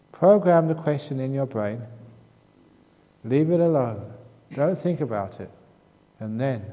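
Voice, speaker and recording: A man's voice: mid-range voice, warm tone. Man teaching meditation in a reverberant hall. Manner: joking, teasing, reads out audience questions. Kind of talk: retreat Q&A talk.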